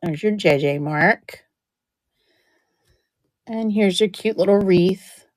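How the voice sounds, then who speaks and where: A woman running a live-stream auction, chatting warmly about each item while she loses her voice and keeps clearing her throat. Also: medium pitch, slow pace, dry recording. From New Jersey. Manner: chatty, describing items up close.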